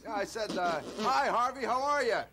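A man's voice: extremely high pitch, lively intonation, moderate pace, slight background noise, heavily acted. A man playing a friendly adult neighbor on a children's show. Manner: friendly, then shouting.

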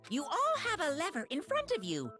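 A sinister little robot bear mascot from a video game, high and cartoonish. The delivery swoops wildly and takes its time, gleefully theatrical, over loud music. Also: female voice, wildly swooping pitch, normal speed. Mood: gleeful, taunting. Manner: theatrical, mock-cheerful taunting. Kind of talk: animated video game acting.

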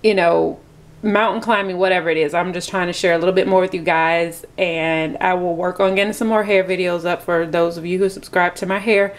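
A woman's voice: lowish voice, fairly even intonation, a little fast. A woman from Florida chatting casually through a home cooking vlog, self-deprecating and full of fillers, recorded on a camera in her kitchen. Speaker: vlogger.

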